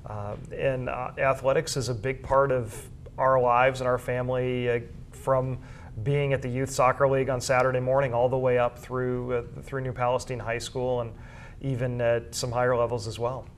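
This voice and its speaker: A man answering interview questions as a school sports information director, conversational, measured and explanatory. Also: mid-range voice, level tone, slight background noise.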